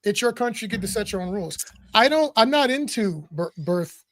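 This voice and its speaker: A man's voice: high-pitched, expressive, brisk, a bit bright. A man co-hosting a casual political talk show, talking bluntly and animatedly and laughing between points. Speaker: co-host.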